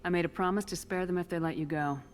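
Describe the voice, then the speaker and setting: An actress playing a firm, composed human military commander in a video game. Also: female voice, fairly low pitch, fairly even intonation, quick pace, a little hiss or hum, lightly acted. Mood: resolute.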